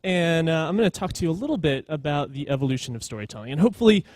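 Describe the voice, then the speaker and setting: A man in his thirties speaking at a conference in a conversational way, pausing deliberately for emphasis. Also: a little high, animated, darkish tone, no echo. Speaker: conference speaker, storytelling professional. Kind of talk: informal conference talk.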